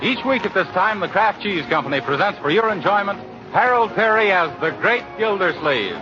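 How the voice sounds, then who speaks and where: 40s radio announcer guy, super lively, scripted and emphatic, muffled old recording. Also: high voice, normal speed, loud music behind the voice. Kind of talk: 1940s radio announcing, scripted sponsor message and narration. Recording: dull, slightly noisy old radio recording.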